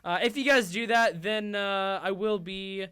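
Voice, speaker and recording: A young man hosting a gaming livestream, rambling with lots of fillers and going hoarse. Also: sits high, a little slow, pushed and bright. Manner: rambling, filler-heavy, self-interrupting. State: losing his voice. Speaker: host. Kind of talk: casual livestream chat while gaming.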